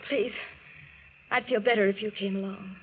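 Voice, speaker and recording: A woman's voice: medium pitch, steady tone, moderate pace, natural voice. An actress in 1940s radio style playing an anxious but determined woman, earnest and emotional. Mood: pleading. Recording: muffled, noisy old radio broadcast.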